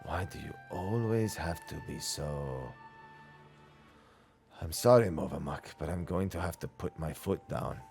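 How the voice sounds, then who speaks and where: Male player as older artisan, low register, measured earnest delivery, gruff yet fond, video game. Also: a little mellow, faint music behind, full character voice. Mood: firm. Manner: measured, formal, earnest phrasing. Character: gruff but fond older craftsman nearing retirement. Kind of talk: video game dialogue read aloud in character.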